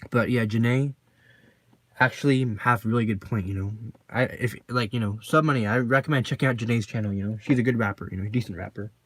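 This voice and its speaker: A deep-voiced man talking casually to the camera in a personal vlog, rambling and filling his talk with constant "you know"s and repeated phrases. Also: fast talker, dry recording. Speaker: vlogger.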